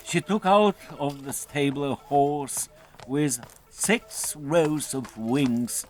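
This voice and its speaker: A man narrating a scripted children's fairy tale in a dramatic, deliberate storytelling manner. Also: a little high. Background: music.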